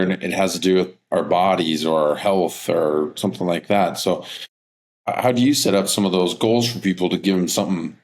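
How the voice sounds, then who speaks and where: A man hosting an interview, asking conversational, probing questions. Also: low-pitched. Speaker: interviewer host.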